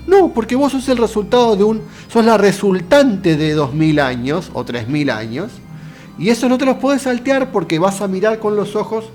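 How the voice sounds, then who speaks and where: Argentine man lecturing on philosophy. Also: fairly high pitch. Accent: Argentine Spanish. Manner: conversational, addresses viewer directly with rhetorical questions. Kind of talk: casual lecture.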